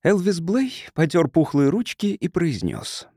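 Man narrating an audiobook, lively intonation. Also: on the high side, unhurried, no echo, clean studio sound. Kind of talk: scripted literary narration, reading a science fiction story.

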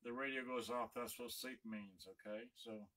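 A man's voice: medium pitch, fairly even intonation, moderate pace, bright, forceful tone. A man giving a casual, unscripted product demonstration, rambling and talking himself through small steps, recorded on a cell phone camera in a room. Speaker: vlogger demonstrating vintage boomboxes. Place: indoor room full of radios. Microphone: cell phone camera set apart from him.

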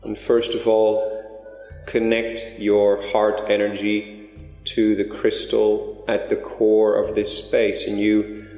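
A man leading a guided meditation in a slow, gentle, drawn-out way. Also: on the low side, monotone. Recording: roomy reverberant recording with soft music. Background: new-age music.

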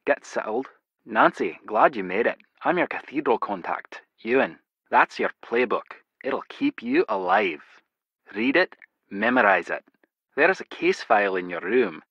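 An actor playing a secretive spy contact in a video game, with clipped, dry, theatrical delivery. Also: male voice, mid-range voice, big pitch swings, measured pace, gravelly, a bit bright, slightly rough, no echo, highs cut off, exaggerated voice. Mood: brisk, guarded. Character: secretive male spy contact, brisk and wry.

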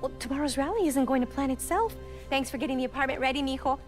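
A woman's voice: sits high, fast talker, faint music behind, lightly acted. A woman playing a busy, affectionate middle-aged mother with a Puerto Rican accent, talking naturally and slipping between English and Spanish in a video game. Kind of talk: video game character acting, natural dialogue.

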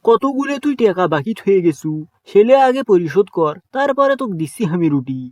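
A man doing a gruff bald cartoon shopkeeper. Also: sits high, big pitch swings, fairly quick, darkish tone, no echo. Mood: irritated. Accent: Bogura dialect of Bangla. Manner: gruff, scolding. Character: gruff male shopkeeper, touchy about his bald head.

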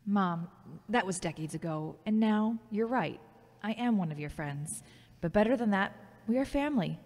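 A woman's voice: mid-range voice, lively intonation, normal speed, a little mellow. A woman playing a touchy, ambitious grown daughter in an audio drama, speaking in a dry, defensive way. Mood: prickly. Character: Charity, touchy, ambitious grown daughter, radio station worker. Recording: long echoey reverb.

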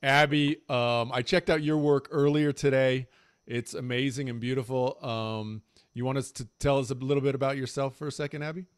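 A man's voice: medium pitch, fairly even intonation, normal speed, clear, resonant tone, no echo, clean studio sound. A man in his forties hosting a panel over a video call from his home, upbeat and chummy, with loose fillers. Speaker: panel host. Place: home room with shelves. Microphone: large desk mic on video call.

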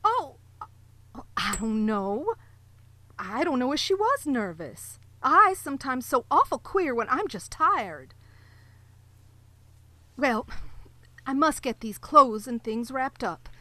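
An actress playing a timid, dutiful sheriff's wife in a live audio drama, talking hesitantly and nervously. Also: female voice, high-pitched, big pitch swings, takes it slowly, long pauses, bright, forceful tone, dry recording, full character voice. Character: timid prim sheriff's wife, middle-aged woman. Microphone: home closet mic, dry.

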